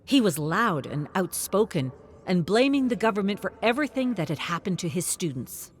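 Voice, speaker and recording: A man's voice: high voice, big pitch swings, moderate pace. A man narrating a scripted thriller story in a dramatic, present-tense style.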